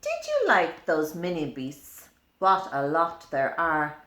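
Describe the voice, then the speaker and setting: A woman's voice: low-pitched, huge pitch swings, slow pace, fairly bright tone, a little hiss or hum. A woman reading and explaining a story for young children in simple, repetitive phrases with questions. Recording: roomy, echoing indoor sound.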